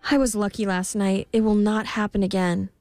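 A woman acts out an anxious young girl from a storybook. She is polite, earnest and pleading. The recording is dry, with soft music behind. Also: mid-range voice, level tone, normal speed, no echo, natural voice. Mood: dismayed. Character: anxious, polite young miller's daughter in fairy tale. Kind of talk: storybook character voice.

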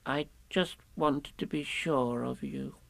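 A man voicing a small, anxious piglet from a storybook, hushed, hesitant and creaky. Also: medium pitch, slow pace, no room sound, full character voice. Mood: timid, hushed.

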